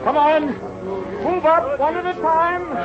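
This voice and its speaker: An actor playing a brisk, authoritative doctor marshalling a crowd in an old-time radio drama. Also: male voice, very high voice, steady tone. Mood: barking orders. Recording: muffled old radio, loud background sound.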